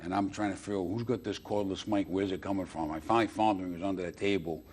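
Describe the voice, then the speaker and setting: A man's voice: low-pitched, fairly even intonation, quick pace, a little mellow, breathy edge. A middle-aged man. He talks casually, like a comedian guesting on a chat show. He is enthusiastic and repeats phrases to drive them home. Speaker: guest, stand-up comedian and booker.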